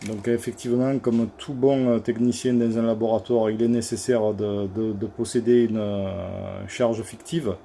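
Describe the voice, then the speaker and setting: Mellow-voiced man, halting, with background noise. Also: fairly low pitch, flat delivery, creaky. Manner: hesitant, halting delivery with many hesitation sounds. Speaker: radio repair technician presenting his work. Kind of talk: informal equipment demonstration. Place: electronics workshop.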